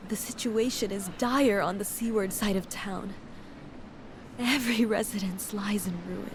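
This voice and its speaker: A woman's voice: medium pitch, fairly even intonation, noisy background, natural voice. An actress playing a composed, stern, philosophical female merchant in a fantasy game, speaking formally. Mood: stern, grave. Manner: formal, measured, sententious. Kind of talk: video game cutscene acting.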